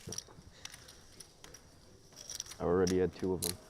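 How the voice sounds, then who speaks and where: A man giving quiet, easygoing replies at a poker table, picked up from a distance. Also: deep voice, completely flat delivery, unhurried, gravelly, noisy background. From Austria.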